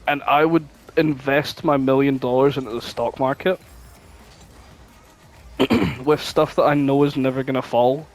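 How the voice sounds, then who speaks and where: A man's voice: medium pitch, measured pace. A man co-hosting a podcast in casual chat, rambling and swearing freely as he spins out his thoughts. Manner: rambling, sweary, animated storytelling. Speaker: podcast co-host.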